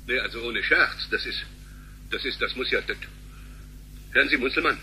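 An actor in a sci-fi radio drama playing a flippant, indignant bank employee with a florid, pompous way of talking. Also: male voice, mid-range voice, moderate pace, sharp tone, a little hiss or hum, low-quality recording. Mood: baffled, flustered.

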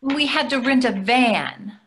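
Animated, gently needling older wife, played by a woman. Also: high-pitched, slow pace, crowd noise. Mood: reasoning. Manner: reasoning, dryly chiding. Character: placating, gently needling middle-aged wife. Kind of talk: readers theatre dialogue. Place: plain room indoors on a video call.

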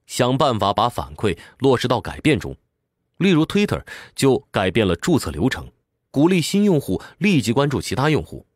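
A man's voice: mid-range voice, no echo, clean studio sound. A man reading a scripted explanatory book summary in a structured, instructive way.

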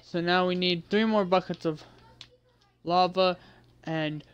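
A man with a fairly high-pitched voice giving casual commentary while playing a video game, muttering to himself and second-guessing as he goes. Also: steady tone, slow, smooth, lo-fi sound. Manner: mutters to himself, self-correcting and unsure. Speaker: gameplay video creator.

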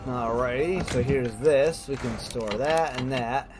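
A man's voice: medium pitch, measured pace. A man giving casual let's play commentary, thinking aloud in short remarks. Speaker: let's player. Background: music and game sounds.

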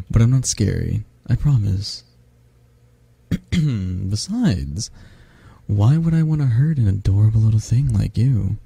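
A man acts out a tall, affectionate half-dragon guy in an ASMR roleplay. His low voice is mellow and husky, right up close and dry. He takes his time, teasing and flirting gently. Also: expressive, a little slow, long pauses, dry recording, exaggerated voice. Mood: gentle, reassuring. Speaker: roleplay voice actor.

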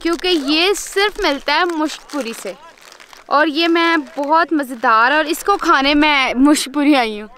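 A woman's voice: sits high, unhurried, bright, forceful tone. A young woman, chatting upbeat to a handheld camera while hiking outdoors on a forest trail, sometimes out of breath. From Pakistan. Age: young adult. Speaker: travel vlogger. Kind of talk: casual travel vlog narration to camera. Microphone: handheld camera held close.

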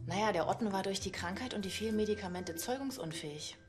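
An actress as a brisk police colleague. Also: female voice, medium pitch, over music, close to an everyday voice.

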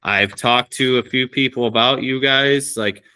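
A man's voice: mid-range voice, steady tone, measured pace, sharp tone, clear, resonant tone, no room sound. A man, perhaps in his thirties, chatting casually and candidly as an interview guest, rambling a little on a video call. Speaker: podcast guest, small business woodworker. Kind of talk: casual conversation. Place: small woodworking shop, indoors. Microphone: video call microphone.

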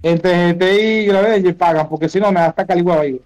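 A man's voice: high voice, steady tone, fast talker, a little mellow, no room sound, soft background music. A man with a Venezuelan accent chatting casually as he games, full of slang and swearing. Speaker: gamer streaming an online role-playing game. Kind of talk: casual gaming chat.

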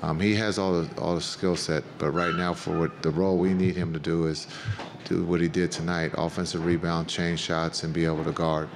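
Middle-aged man, basketball coach, low voice, monotone, measured and plain-spoken, table mic, noisy press room. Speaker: head coach. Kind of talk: postgame press conference answers. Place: press conference room with backdrop. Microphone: table microphone.

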